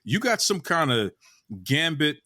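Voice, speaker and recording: A man's voice: a little high, very animated, unhurried, pushed and bright, no echo, studio quality. A man with a Boston accent hosting a wrestling interview, chatting in a jokey, rambling, self-deprecating way. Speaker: podcast host. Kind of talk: casual interview chat.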